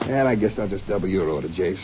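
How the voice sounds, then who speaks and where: An actor playing a folksy, easygoing American Texas Ranger, the junior partner in an old radio drama. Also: male voice, low voice, animated, quick pace. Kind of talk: 1950s radio drama acting. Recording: noisy, muffled old radio recording.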